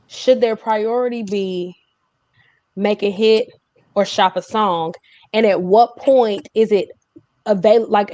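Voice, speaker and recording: A woman's voice: mid-range voice, warm tone, no room sound. A woman hosting a casual panel chat, summing up what was said and probing with questions. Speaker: panel host.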